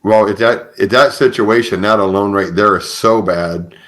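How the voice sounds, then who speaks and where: Very deep-voiced man hosting an interview. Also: fairly bright tone. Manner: halting, rephrases questions as he goes. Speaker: podcast host. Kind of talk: conversational interviewing.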